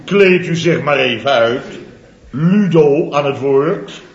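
An actor playing a boastful middle-aged contractor, telling a comic story in a theatrical, chatty way for a 1970s radio comedy serial. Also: male voice, on the high side, lively intonation, slow pace, reverberant, lo-fi sound. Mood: indignant.

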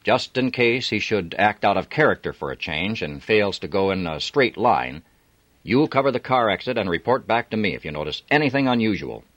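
A man's voice: lowish voice, very animated, moderate pace, fairly bright tone, no echo, dull audio, voice pushed up. A man voicing a scheming, commanding male agent giving orders.

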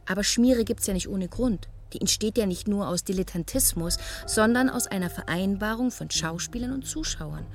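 A woman's voice: mid-range voice, moderate pace, hardly any pauses, close to an everyday voice. An actress in a German radio play playing a troubled, argumentative woman who reasons insistently and emphatically. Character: troubled, argumentative woman worn down by doctor visits.

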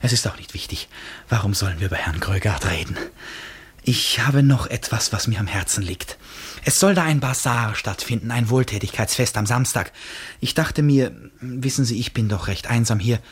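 Husky, restless gentleman. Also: medium pitch, animated. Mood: probing, earnest. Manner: effusive, abrupt shifts from pleading to brisk insistence. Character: restless, eccentric gentleman stranger, lovelorn and insistent. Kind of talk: German radio play acting.

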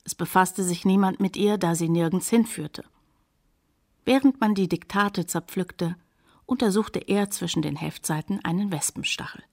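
Narrator role: literary woman storyteller, lowish pitch, measured scripted delivery for radio drama. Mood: measured, reflective. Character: female family narrator recounting a naturalist's youth.

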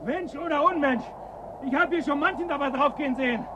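Suspicious scavenger, unusually high male voice, curt and mocking, radio drama with loud outdoor noise. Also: fairly quick, lo-fi sound. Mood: suspicious, defiant. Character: cynical, suspicious male looter in a frozen ruined town. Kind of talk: German radio drama acting.

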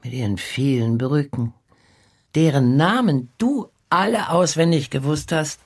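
Gravelly, wistful old woman. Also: deep voice, animated, a little slow, a little mellow, exaggerated voice. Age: older. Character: elderly former nanny, wistfully recalling pre-war Prague. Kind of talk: literary radio drama monologue.

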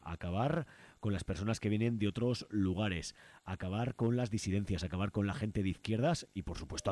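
Male radio host from Spain, fairly low voice, informal and hesitant commentary. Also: a little mellow.

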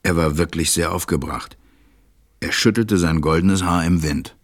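A man playing a stranded pilot who narrates a radio play in a measured, reflective way. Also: sits low, no room sound, clean studio sound. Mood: tender, reflective.

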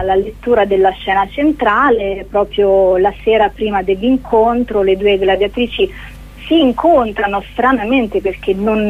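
A woman giving an informal radio interview as a guest actress, speaking hesitantly with searching pauses. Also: medium pitch. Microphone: telephone. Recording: narrow, tinny phone line.

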